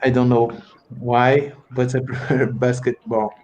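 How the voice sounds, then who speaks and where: A man's voice: mid-range voice, flat delivery, a little slow, darkish tone, lo-fi sound. A man from Brazil with a Brazilian Portuguese accent, a native Portuguese speaker, talking hesitantly as a student and searching for words. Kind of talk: casual chat in a second language.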